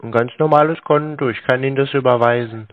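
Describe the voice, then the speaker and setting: A man talks over a thin, phone-like line with little room sound. He comes across as a pedantic, clueless customer, questioning details in a conversational way at a middle pitch. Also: fairly even intonation, fast talker, no room sound. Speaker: scam-baiter posing as a customer on a call. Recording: muffled narrow phone-like sound, dry.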